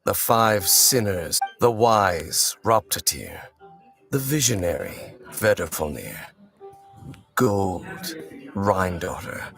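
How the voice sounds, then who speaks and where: Low, grave, brooding warrior. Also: male voice, exaggerated voice. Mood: bitter, solemn. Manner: solemn, formal, measured delivery. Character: grave, brooding male warrior haunted by betrayal. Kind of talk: dramatic video game voice acting. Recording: loud game music behind voice.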